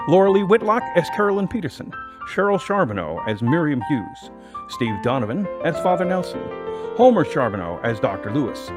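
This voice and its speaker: A man's voice: fairly high pitch, big pitch swings, brisk. A man reading scripted narration as the narrator of an audio drama. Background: music.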